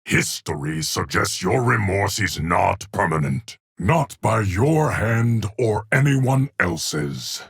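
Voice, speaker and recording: A man playing a stern, ancient keeper of time in a fighting video game, with a gravelly, husky voice and a formal, portentous delivery. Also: lowish voice, expressive, slow, hardly any pauses, fairly bright tone, dry recording, clean studio sound, heavily acted. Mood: commanding, ominous. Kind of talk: dramatic fighting game character lines.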